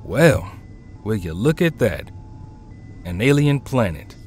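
An actor playing an easygoing, loyal teenage hero in an audio drama, speaking in a casual, slangy, supportive way. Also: male voice, fairly low pitch, very animated, takes it slowly, darkish tone, soft background music. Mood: sincere, encouraging.

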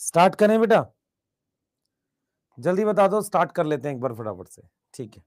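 A male teacher with a high voice, a bit hoarse today. He keeps asking the class to answer fast in the chat and repeats each point. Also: very animated. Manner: calls students "beta", repeats questions and urges quick chat answers. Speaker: biology teacher. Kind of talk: online class lecture.

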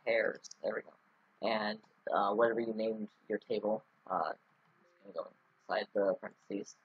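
A man's voice: lowish voice, normal speed, husky, no room sound, highs cut off. A man talking through a casual screen-recorded coding tutorial, rambling with many ums and restarts. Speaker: scripting tutorial host.

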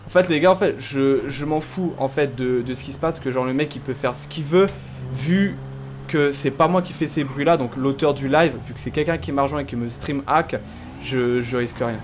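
A man in casual gaming chat, speaking at a middling pitch. He protests in slang and repeats his phrases, with faint game noise behind him. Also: normal speed. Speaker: streamer playing online GTA roleplay. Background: video game ambience.

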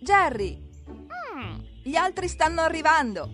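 A woman with a high voice narrates an animated show for small children. Her delivery swings widely in pitch and is exclamatory and expressive, addressing the characters directly. Music plays behind her. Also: measured pace. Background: background music.

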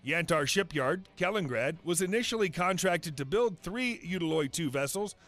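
A man narrating a scripted documentary countdown in an even, flat read. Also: high voice, moderate pace, soft background music. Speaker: video narrator.

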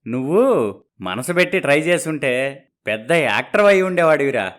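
Man as animated, gruff sardonic father. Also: a little high, normal speed. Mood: sardonic amazement. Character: gruff middle-aged father of the groom, sardonic. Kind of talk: dramatized moral story dialogue.

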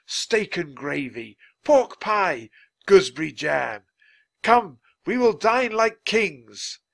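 A man voicing a kindly, indignant English gentleman author in a storybook, with grand, emphatic speech and a theatrical manner. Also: high-pitched, sing-song, slow, gravelly, pitched above the performer's own voice, exaggerated voice. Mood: warm, expansive.

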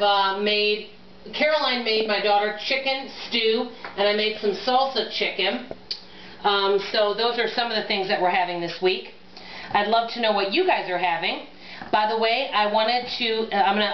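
A woman's voice: mid-range voice, slight background noise. A middle-aged woman chatting casually to her viewers while she cooks, thinking aloud and calling them guys and y'all. She is recorded in a home kitchen on a camera mic across the counter. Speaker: cooking vlogger. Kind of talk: casual cook-along chat to camera. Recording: echoey kitchen, slightly dull home camera audio.

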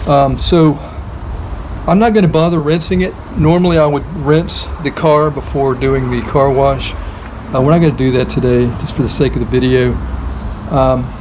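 A middle-aged man giving a relaxed how-to demonstration in a driveway, thinking aloud with many ums and uhs. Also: mid-range voice, moderate pace. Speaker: DIY car-washing vlogger. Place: outdoor driveway by a house. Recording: outdoor recording with running water noise. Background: hose spray and outdoor ambience.

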